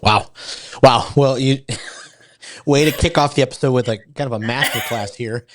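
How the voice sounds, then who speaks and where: A man's voice: mid-range voice, normal speed. Stumbling, awed male podcast host. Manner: amazed, stumbling, restarts questions. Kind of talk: casual interview conversation.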